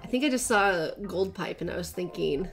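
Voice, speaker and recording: A woman in her thirties co-hosting a casual gaming video from a small home room, chatty and encouraging with quick short replies. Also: lowish voice, expressive, faint music behind. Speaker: co-host.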